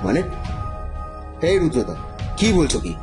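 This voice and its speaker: A man's voice: on the high side, big pitch swings, a little slow, music in the background. A man acting as an evasive, nervous professor in an audio drama, hesitant and stammering. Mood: uneasy. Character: evasive, nervous male professor hiding a criminal secret.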